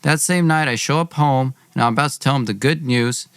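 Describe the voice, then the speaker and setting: A man's voice: medium pitch, brisk, fairly bright tone, smooth, no echo. A man narrating a personal story as host, in an emphatic, aggrieved way with acted-out dialogue. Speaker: podcast host.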